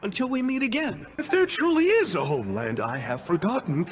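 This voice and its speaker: A man's voice: high-pitched, animated, a little fast. An actor playing a sly, poetic young male villain in a video game, speaking in a grandiose, mock-courteous way. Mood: wry, wistful. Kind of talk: scripted video game dialogue. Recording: game music behind. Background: game music.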